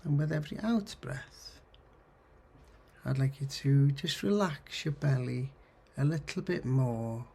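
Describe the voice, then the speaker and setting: A man leading a guided meditation in a husky, gravelly voice, giving gentle, soothing instructions in short phrases with pauses. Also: medium pitch. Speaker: counsellor guiding mindfulness. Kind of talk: guided meditation, body scan.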